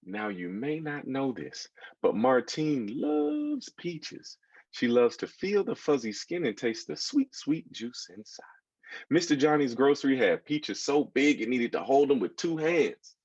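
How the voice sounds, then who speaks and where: An American man reads a story to children with a lively, swooping delivery. His voice is husky and mid-pitched, and he often turns to his listeners with asides. The sound is dry and a little dull. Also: a little slow, dry recording. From United States. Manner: expressive, engaging listeners with asides. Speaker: children's author reading his book aloud. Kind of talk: animated storytelling to children, with questions.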